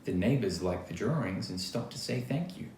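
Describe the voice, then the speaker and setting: Expressive man reading a storybook, lively pitch. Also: moderate pace. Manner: expressive, acts out quoted lines, chatty asides. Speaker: storybook reader. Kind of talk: read-aloud of a children's picture book with asides. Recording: roomy echo, faint background noise.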